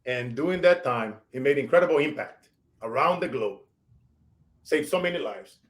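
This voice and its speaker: A man in his forties with a Brazilian accent, hosting a show and giving emphatic motivational shout-outs. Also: medium pitch, animated, moderate pace. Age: 40s. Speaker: show host. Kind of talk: motivational interview wrap-up.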